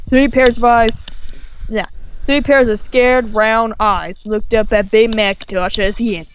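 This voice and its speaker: A woman's voice: fairly high pitch, steady tone, takes it slowly, clear, resonant tone. A woman storyteller reading aloud informally, with casual asides, on a slightly dull microphone. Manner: informal, breaks off to comment and swear. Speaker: storyteller, host.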